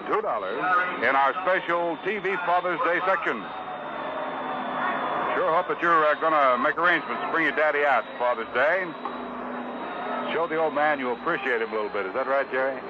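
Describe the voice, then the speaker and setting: A man's voice: on the high side, brisk. An American man in a folksy, salesmanlike 1950s radio style, announcing as co-host of a baseball broadcast. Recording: noisy muffled 1950s radio broadcast recording.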